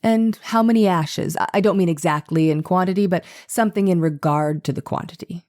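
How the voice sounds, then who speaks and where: Low, mellow woman reading as a probing defense attorney. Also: close to an everyday voice. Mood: probing, formal. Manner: formal, deliberate, probing questioning. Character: methodical, probing 1890s male defense attorney cross-examining a witness. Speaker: voice actor reading a lawyer's part. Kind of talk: dramatized reading of a trial transcript.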